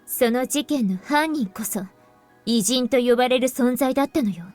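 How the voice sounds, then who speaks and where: Woman acting a composed, high-voiced anime game heroine. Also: quick pace, a little mellow, natural voice. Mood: grave, measured. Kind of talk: Japanese visual novel voice acting. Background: game music.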